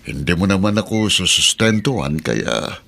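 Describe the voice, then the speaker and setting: A man's voice: low-pitched, big pitch swings, normal speed, gravelly, fairly bright tone, heavily acted. Grumbling old father, played by an actor. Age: older. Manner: grumbling, reluctant. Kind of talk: radio drama acting.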